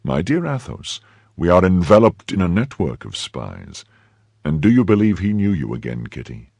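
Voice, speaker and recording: A man voicing a gallant young Frenchman in a storybook, confident, coaxing and quick to give orders. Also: low-pitched, sing-song, creaky, darkish tone, no room sound, studio quality, exaggerated voice. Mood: urgent, commanding. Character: gallant, brisk young Frenchman, affectionate and commanding.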